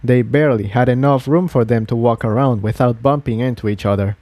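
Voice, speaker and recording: A male audiobook narrator with a mellow, relaxed voice at medium pitch. He reads scripted fiction, and the sound is close and very dry. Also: fairly quick, dry recording.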